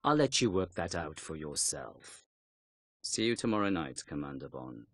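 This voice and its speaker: A man playing a guarded Chinese intelligence colonel in a game cutscene, speaking formally and deliberately. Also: very deep voice, sing-song, fairly bright tone, breathy edge, no room sound, clean studio sound, exaggerated voice. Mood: cryptic, guarded.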